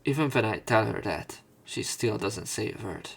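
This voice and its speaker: Low-voiced man doing a visual novel let's play. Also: lively intonation, normal speed, gravelly, a bit bright, husky. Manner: informal, swearing in reactions. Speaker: let's player. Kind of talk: reading visual novel narration aloud with casual reaction commentary.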